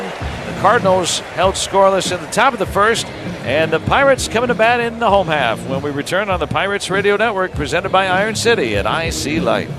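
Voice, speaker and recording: Guy doing radio baseball play-by-play, clipped measured phrases, noisy stadium behind him. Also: a little high. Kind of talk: live radio sports commentary. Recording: noisy outdoor ballpark radio broadcast. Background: steady ballpark ambience.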